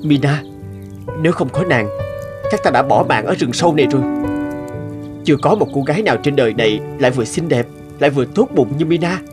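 Actor as young male hunter, fairly high voice, earnest, lovestruck, fairy-tale cartoon, music behind. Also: expressive, quick pace, close to an everyday voice. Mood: earnest, pleading. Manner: earnest, romantic.

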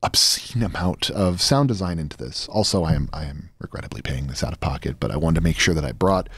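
A man's voice: rumbling low, animated, fast, creaky, a bit bright, husky, dry recording. A man in his thirties, a guest chatting casually about his work into a close studio microphone. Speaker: guest, audio drama creator. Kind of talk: casual interview storytelling. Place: small indoor studio. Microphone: close studio microphone on boom arm, headphones.

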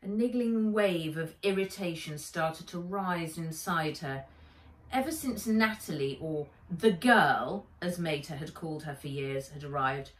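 Casting: middle-aged female children's storyteller, expressive, lively pitch, roomy slightly distant home recording. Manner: expressive, emphatic. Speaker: author reading her book aloud. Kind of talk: dramatic storybook narration for children. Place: sitting room by a window, indoors.

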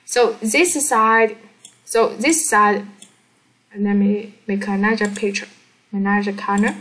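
Woman, non-native English speaker, medium pitch, slow pace, halting step-by-step tutorial, frequent check questions. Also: fairly even intonation, fairly bright tone, poor audio. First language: not English. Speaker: physics teacher. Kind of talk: informal step-by-step explanation of a worked problem.

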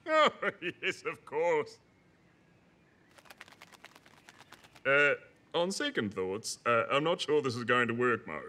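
A man's voice: mid-range voice, big pitch swings, a little slow, bright, forceful tone. A man voicing a polite, chatty, fussy little bird in a preschool cartoon, genteel and a bit dithering. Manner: genteel, jolly, slightly dithering.

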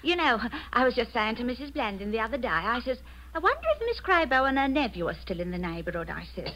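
An actress playing a chatty, obliging tea shop owner in a 1940s radio drama, fussy, polite and garrulous. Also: female voice, on the high side, very animated, fast talker, sharp tone, heavily acted. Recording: noisy 1940s radio recording.

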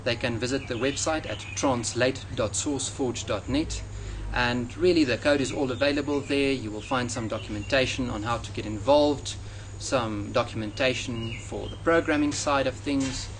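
South African man, earnest and measured. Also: medium pitch. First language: Afrikaans. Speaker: software developer. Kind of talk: interview answers to off-screen questions. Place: outdoors in front of a leafy hedge. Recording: outdoor noise with passing traffic.

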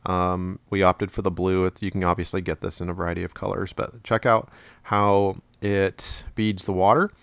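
A man giving a relaxed, rambling product review at home, with plenty of fillers and restarts. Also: low voice, moderate pace, vocal fry, dry recording.

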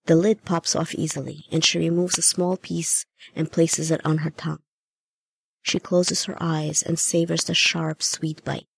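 Husky, creaky-voiced woman narrator. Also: deep voice, little pitch movement, measured pace, no room sound. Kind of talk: scripted short story narration.

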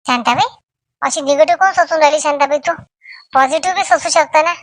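A woman's voice: sits high, quick pace, dry recording, close to an everyday voice. A woman voicing a chatty, matter-of-fact village woman in a family comedy cartoon. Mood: brisk, eager.

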